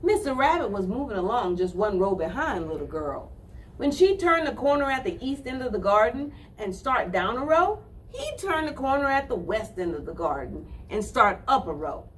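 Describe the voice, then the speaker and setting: A woman telling a children's story with deliberate phrasing, addressing young listeners directly. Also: a little high, very animated, a bit bright, recorded from a distance, low-quality recording.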